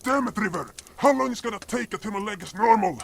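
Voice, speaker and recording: A proud but anxious furred adventurer, played by a man in a fantasy audio drama. The voice is pushed up high and sounds exasperated and agitated. Some noise sits on the recording. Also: lively intonation, fast talker, creaky. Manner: agitated, exclaiming in a raised voice. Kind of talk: audio drama character acting.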